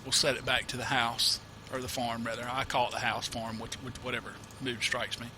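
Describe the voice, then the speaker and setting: A man with a mid-range voice talks through a farming game tutorial in a loose, rambling way, full of "uh"s and restarts. He has a cold and sniffles now and then. His home desk mic carries a steady hiss of background noise. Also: mid-range voice, a little fast. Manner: rambling, self-correcting, many uh fillers. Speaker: gameplay tutorial host. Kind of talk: casual let's-play commentary.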